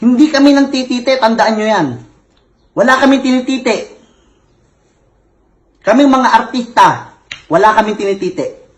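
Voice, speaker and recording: A man's voice: extremely high pitch, measured pace, pauses often. A man ranting in a comic tirade, with a heavy lisp that turns s sounds into t. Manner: angry, complaining, repetitive. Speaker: self-styled actor on social media. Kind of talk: comic rant.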